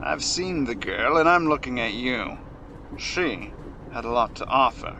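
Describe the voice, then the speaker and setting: A man plays a gruff, dogged police detective in an audio drama. He takes his time, with a casual sardonic edge and lively swings in pitch, over faint noise. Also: a little slow. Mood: sardonic, probing. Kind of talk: amateur audio play acting. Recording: faintly noisy home-device recording.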